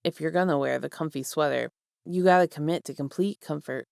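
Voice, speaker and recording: Woman voicing a gentle young man, low and quiet. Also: a little fast, creaky, no echo, clean studio sound. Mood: lightly teasing. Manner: soft, careful, quiet speech. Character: young man, gentle, careful, teasingly reassuring. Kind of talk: fan fiction reading.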